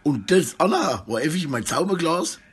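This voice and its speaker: A middle-aged man with a husky voice, rambling disjointedly in a selfie monologue recorded indoors on a handheld phone. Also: fairly high pitch, very animated, moderate pace. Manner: rambling, disjointed, often tags sentences with questions. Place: small room indoors. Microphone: phone held at arm's length.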